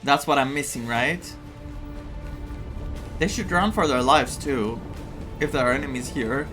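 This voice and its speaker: A game streamer giving casual, excitable reactions during a playthrough, often repeating phrases. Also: medium pitch, a little slow. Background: game music.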